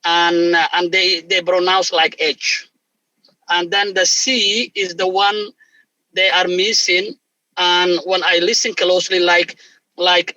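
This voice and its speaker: Male language instructor, high and bright, dry close mic. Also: no echo, rough recording. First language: Somali. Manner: animated, halting non-native phrasing. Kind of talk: explanatory conversation.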